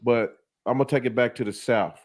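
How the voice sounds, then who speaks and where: A man's voice: medium pitch, steady tone, brisk. A man in his forties who hosts a casual interview, asking easygoing, thoughtful questions. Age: 40s.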